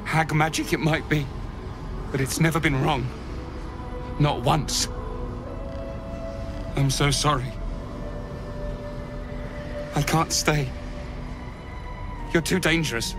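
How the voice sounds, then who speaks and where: A horrified, haunted man in a video game. His fairly high voice moves slowly and is thick with fervent dread, over clear background music. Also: fairly even intonation. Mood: dread, foreboding.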